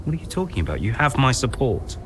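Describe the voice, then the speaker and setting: A man's voice: mid-range voice, sing-song, fairly bright tone. Evasive businessman, voice swinging widely. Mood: defensive. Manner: sarcastic, dismissive, quick to deflect. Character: evasive, impatient businessman and elder-brother team boss. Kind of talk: naturalistic video game cutscene acting.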